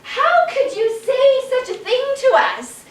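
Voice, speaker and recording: An indignant mob of townsfolk, ranting and outraged, as a woman storyteller puts them on. The voice sits high and swings widely, drawn out for emphasis. It echoes in a roomy space. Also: huge pitch swings, takes it slowly, full character voice. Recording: echoey room, mic at a distance.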